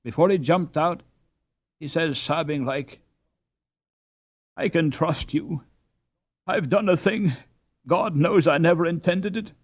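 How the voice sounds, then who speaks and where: A man voicing an injured, dazed Dutch husband in an audiobook, halting and groping for words as he strains to remember. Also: on the high side, voice pushed up. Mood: troubled recollection. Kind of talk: audiobook character dialogue.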